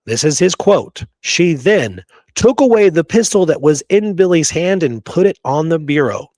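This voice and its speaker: A man's voice: a little high, animated, moderate pace, a little mellow, dry recording. A man hosting a conversational history discussion, speaking in an emphatic, opinionated way and repeating points to drive them home. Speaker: podcast host explaining frontier history.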